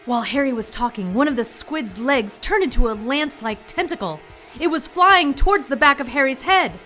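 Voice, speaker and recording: A woman's voice: sits high, animated, moderate pace, bright, forceful tone, soft background music. An actress playing a plucky, anxious 1940s young woman who narrates her own adventure in an audio drama, earnest and dramatic. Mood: tense narration.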